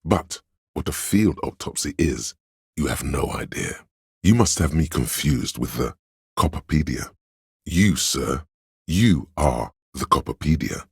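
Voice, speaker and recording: A man voicing a pompous, erudite encyclopedia of trivia in a video game, speaking in a measured, deliberate, gravelly and husky narration. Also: very deep voice, lively intonation, no room sound, studio quality, exaggerated voice. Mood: dry, condescending. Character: pedantic know-it-all inner voice, a walking encyclopedia of trivia.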